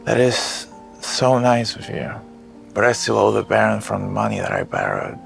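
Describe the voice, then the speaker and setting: Kindly servant, low heavy vocal fry, gentle, protective, cautious, audio drama. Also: male voice, sing-song, normal speed, soft background music, heavily acted. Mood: warm, teasing. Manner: gentle, concerned. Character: kind, cautious male servant, cook turned maid, protective friend. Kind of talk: audio drama character acting.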